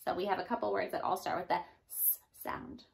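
A woman in her thirties giving an expressive storybook read-aloud for young children, like a teacher addressing her class. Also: fairly low pitch, brisk, creaky, a little hiss or hum. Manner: animated, addressing young children, sounding out rhymes. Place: small indoor room.

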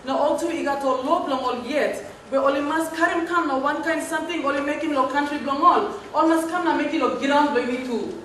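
A woman's voice: high-pitched, brisk, a bit bright. A woman with a Papua New Guinean accent giving a partly improvised formal answer as a government speaker, heard from afar in an echoey hall. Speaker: government respondent in a practice parliament. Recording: very echoey hall, distant mic, faint noise.

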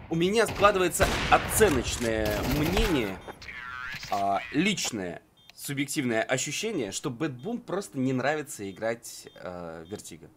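A man's voice: mid-range voice, big pitch swings, unhurried. Male esports commentator over faint game noise. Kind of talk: unscripted live game commentary.

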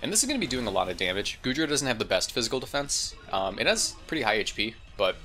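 A man's voice: medium pitch, sing-song, quick pace, pushed and bright, soft background music. A slightly congested man giving casual, informal gameplay commentary, thinking aloud as he goes. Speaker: gaming video host.

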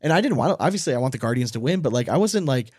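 A man's voice: mid-range voice, expressive, rapid-fire, darkish tone, husky, no room sound. Man hosting a casual podcast, dry sound. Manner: profane, blunt, rambling. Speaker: podcast host. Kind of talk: casual sports podcast chat.

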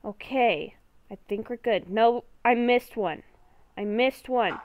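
A woman playing a nervous young female werewolf in an improvised Minecraft roleplay, halting and stammering as she talks. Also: fairly high pitch. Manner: halting, stammering, repeats herself. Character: nervous young woman werewolf, hearing ghostly voices at night.

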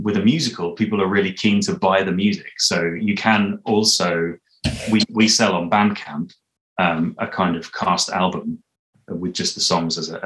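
Man, 30s, very low voice, podcast creator in a casual interview, halting explanatory delivery. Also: fairly bright tone, low-quality recording. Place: small indoor room at home. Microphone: video call, computer at arm's length.

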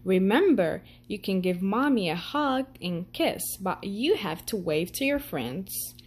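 A woman reading a children's picture book aloud in a gentle storytelling manner. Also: sits high, slight background noise. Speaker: storytime reader.

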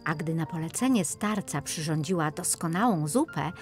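Low-pitched woman narrating a fairy tale. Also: animated, moderate pace, soft background music. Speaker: audiobook narrator.

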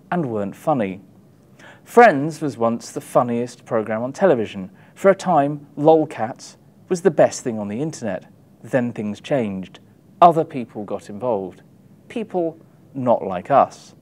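A man in his thirties giving an expressive, wry reading from his book, with emphatic delivery and dramatic pauses. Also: mid-range voice. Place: small indoor room, publisher's backdrop. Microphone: lapel mic.

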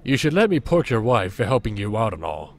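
An actor playing a gruff, regretful boss who confesses and advises in an audio drama. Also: male voice, fairly low pitch, sing-song, fairly quick. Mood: blunt, crude.

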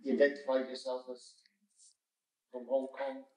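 A man's voice: medium pitch, flat delivery, normal speed, a little mellow. Chatty man in an echoey room. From Scotland. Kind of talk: group discussion. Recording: echoey room, distant mic, faint noise.

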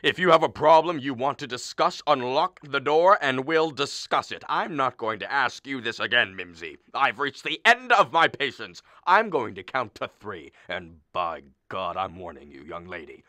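A man's voice: medium pitch, big pitch swings, normal speed, hardly any pauses, pushed and bright, no room sound, studio quality. An actor playing a blustering, exasperated middle-aged father of a bride in a radio comedy, theatrical and argumentative. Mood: stern, angry. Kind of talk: stage comedy performed as a radio play.

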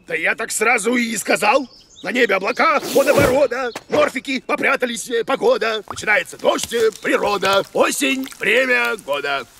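Gravelly, pompous cartoon monster teacher. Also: male voice, very high voice, expressive, normal speed, over music, heavily acted. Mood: blustering. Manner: pompous, hesitant blustering, exclamatory. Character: blustering, vain, grumpy bearded cartoon monster teacher. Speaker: comic teacher. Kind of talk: children's cartoon voice acting.

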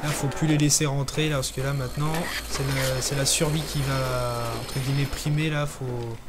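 A young man hosting a gaming channel, talking through a zombie game in casual commentary, hesitant with lots of pauses and fillers. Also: mid-range voice, flat delivery, heavy background noise. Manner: hesitant, many pauses and fillers, talks while playing.

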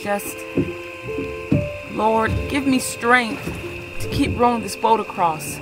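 Frightened praying mother, loud music. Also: fairly high pitch, slow pace, poor audio. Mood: anxious, pleading. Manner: pleading, hushed, prayerful. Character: frightened, devout enslaved mother fleeing with children. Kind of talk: audio drama.

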